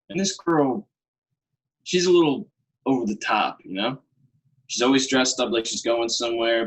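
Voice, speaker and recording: A man's voice: medium pitch, a bit bright, husky, rough recording. An actor playing a chatty, well-meaning delivery guy, rambling and mildly flustered in a casual video-call play. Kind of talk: casual one-sided call in a Zoom play.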